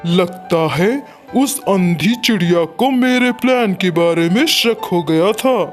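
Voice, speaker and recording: A woman's voice: on the low side, slow pace, faint music behind. A woman voicing a scheming, greedy male kite bird that feigns kindness in a children's cartoon. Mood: thwarted, annoyed. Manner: sly, muttering plans to itself. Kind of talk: Hindi cartoon character acting.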